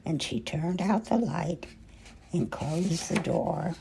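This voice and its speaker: Older female storyteller, very creaky, mellow tone, expressive children's read-aloud with dramatic pauses. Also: fairly low pitch. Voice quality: husky, gravelly. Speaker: storyteller reading a picture book aloud.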